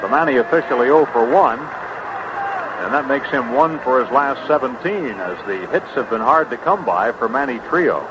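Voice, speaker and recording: Male play-by-play man, American, conversational and unhurried, mid-pitched voice, dull noisy vintage sound. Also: lively intonation. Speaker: baseball play-by-play announcer. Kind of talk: live sports commentary. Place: stadium broadcast booth. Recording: muffled, noisy vintage baseball broadcast.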